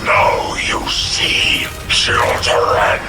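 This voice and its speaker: An actor playing a fanatical undead alien villain who preaches to his army in a rasping, grandiose, theatrical way in a video game. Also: recorded from a distance. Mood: triumphant zeal. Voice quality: gravelly, rasping. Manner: grandiose, slow, theatrical oratory. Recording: echoing voice under loud music. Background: loud dramatic music.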